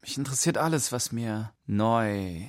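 A man's voice: medium pitch, animated, normal speed, no room sound, clean studio sound, lightly acted. An actor playing a curious, easygoing young man who chats and narrates about his friend in a radio play.

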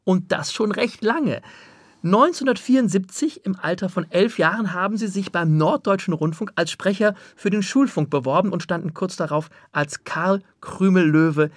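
A man's voice: high-pitched, normal speed, no echo, studio quality. A man hosting a radio interview, putting prepared questions to his guest.

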